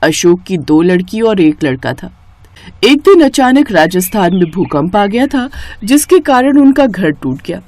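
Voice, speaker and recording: A woman narrating a scripted moral story in an expressive storytelling manner. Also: mid-range voice, a little slow.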